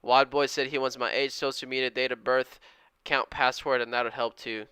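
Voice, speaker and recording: A man's voice: medium pitch, little pitch movement, moderate pace, sharp tone, smooth, dry recording. A man in his twenties chatting casually on a live trading stream, reading out viewer comments and thanking people in an upbeat, slangy way. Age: 20s. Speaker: live-stream day trader.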